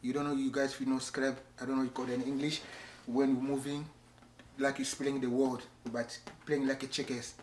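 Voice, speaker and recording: Earnest man from Congo, halting English. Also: medium pitch, level tone, roomy sound, slight background noise. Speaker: interviewee. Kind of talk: documentary interview.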